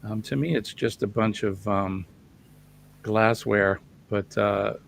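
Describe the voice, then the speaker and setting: A man co-hosting a casual chat, easygoing and hesitant with many pauses. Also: lowish voice, fairly even intonation, vocal fry, a little hiss or hum. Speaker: co-host.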